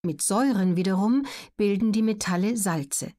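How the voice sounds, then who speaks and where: A woman reads scripted educational narration as an audiobook narrator, measured, factual and clearly articulated. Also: mid-range voice, warm tone.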